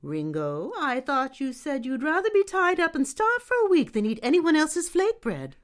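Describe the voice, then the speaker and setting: A proud cartoon aunt fish, played by a woman, sweetly insistent and haughty. Her highish voice rises and falls dramatically as she presses her point. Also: sing-song, moderate pace. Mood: pushy, sugary. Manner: sugary but insistent, haughty. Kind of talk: cartoon audio drama acting.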